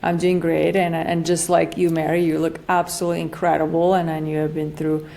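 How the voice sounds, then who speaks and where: Female podcast guest, late 40s, Slovak accent, low voice, earnest and emphatic conversational explainer. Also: little pitch movement, brisk, vocal fry, mellow. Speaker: functional medicine practitioner, podcast guest.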